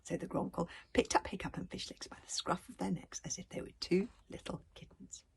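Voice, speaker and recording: A woman reading a children's story aloud with animated expression, now and then stumbling over words. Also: on the low side, brisk, gravelly, husky, whispered. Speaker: author reading own book.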